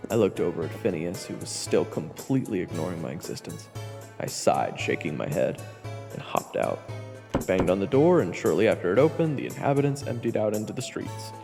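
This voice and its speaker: A man plays a weary fantasy adventurer narrating his story. His voice is low and creaky, washed in long reverb with music underneath. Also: sing-song, a little fast, full character voice. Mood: weary, reflective. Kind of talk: scripted first-person story narration. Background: background music.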